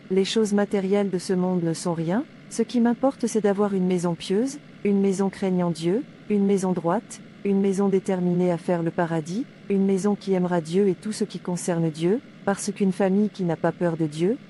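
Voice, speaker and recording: A woman voicing a pious, calm young woman in a cartoon drama, preachy and stilted. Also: mid-range voice, moderate pace, few pauses, a little hiss or hum, close to an everyday voice. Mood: earnest, admonishing.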